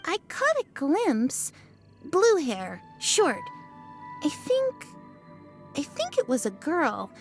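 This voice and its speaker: A woman's voice: high-pitched, wildly swooping pitch, slow pace, pauses often, full character voice. A woman voicing an earnest, slightly hesitant young female bystander in a video game. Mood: puzzled, recalling. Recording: faint music behind. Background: game music.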